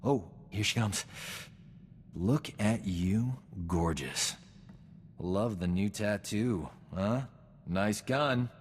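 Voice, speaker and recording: An actor playing a vain, flirtatious, smooth-talking doctor in a video game. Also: male voice, fairly low pitch, drawn-out words, in a large hall, slight background noise. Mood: cocky. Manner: cocky, self-admiring, smooth-talking. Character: vain, flirtatious male doctor, boastful charming rogue. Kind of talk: video game cutscene acting.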